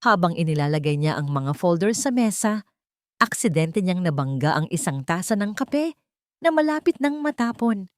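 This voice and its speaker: Female Filipino narrator for romantic drama audio story, slightly low register, close dry recording. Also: very animated, normal speed, no echo.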